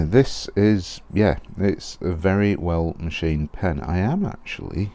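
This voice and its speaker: A man with a very low, mellow voice talks casually through a product unboxing. He rambles, corrects himself and keeps clearing his throat, sounding a little hoarse as he loses his voice. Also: animated, slow. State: losing his voice. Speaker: fountain pen reviewer.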